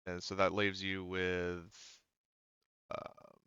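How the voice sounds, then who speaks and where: A man acting as game master of an online tabletop game, talking in a casual, halting, conversational way over slightly dull voice-chat audio. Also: sits low, monotone, bright, forceful tone, smooth, dry recording.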